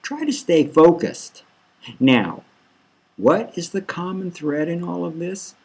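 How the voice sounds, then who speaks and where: A man's voice: on the high side, lively intonation, takes it slowly, creaky, breathy edge, heavily acted. A man reading a satirical novel as a smug male therapist, falsely soothing, patronising and insistent. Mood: self-satisfied.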